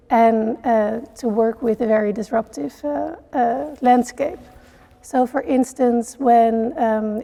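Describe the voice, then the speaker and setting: A middle-aged woman speaks with a slightly high but dark, mellow tone and a gravelly edge of fry. She answers interview questions earnestly, stopping often on fillers as she thinks. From Netherlands. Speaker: CEO of a network for social entrepreneurs.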